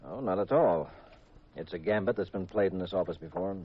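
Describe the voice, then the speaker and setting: An actor with an American accent playing a confident, easygoing young private detective in a 1950s radio drama, brisk and casual. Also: male voice, deep voice, heavy background noise, lightly acted. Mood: self-assured. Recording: dull old radio recording.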